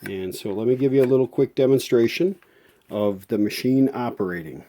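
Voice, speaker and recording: A man giving a casual hands-on repair demonstration as a show host, thinking aloud in a folksy, unhurried way. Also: medium pitch, dark tone, slight background noise. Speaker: host of a vintage audio repair channel.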